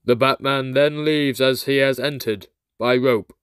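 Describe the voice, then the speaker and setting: A man's voice: mid-range voice, fairly even intonation, a little slow, fairly bright tone, dry recording. A man reading scripted, dramatic comic book narration as the storyteller. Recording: music clearly behind the voice.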